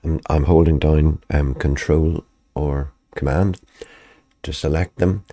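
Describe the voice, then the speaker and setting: Very deep-voiced man calmly explaining edits. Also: takes it slowly, dry recording. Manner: calm, methodical step-by-step explaining. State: slightly croaky after flu. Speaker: video editing tutor. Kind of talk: screen-recorded software tutorial.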